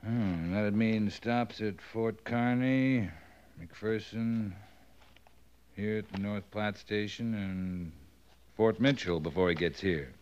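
A man's voice: fairly low pitch, takes it slowly, a little mellow, smooth. A man playing a dutiful American cavalry captain in a 1950s radio western, terse, understated and dry. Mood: dryly concerned. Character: laconic, dutiful cavalry captain who stands up for his men. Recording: muffled old 1950s radio recording.

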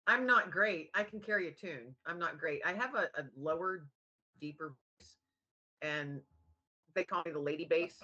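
An American woman guest on a podcast, upbeat and encouraging, with a low raspy, gravelly voice. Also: moderate pace, pushed and bright, lo-fi sound. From United States. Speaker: guest, author. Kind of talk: casual chat.

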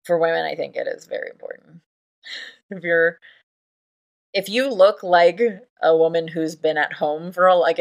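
A woman co-hosting a podcast in casual chat, an animated storyteller who laughs often and acts out what people said. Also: deep voice, vocal fry. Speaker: podcast co-host.

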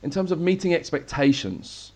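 A man's voice: a little high, lively intonation, normal speed, vocal fry, mellow. A man hosting a podcast, asking curious, encouraging follow-up questions. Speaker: podcast host. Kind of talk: interviewing.

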